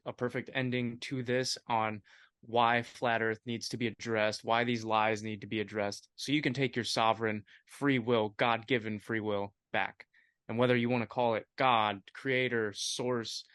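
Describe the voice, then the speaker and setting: A man's voice: medium pitch, fairly bright tone, clean tone, no room sound, studio quality. A man hosting a casual podcast, enthusiastic and loose-tongued, praising his guest. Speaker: podcast host.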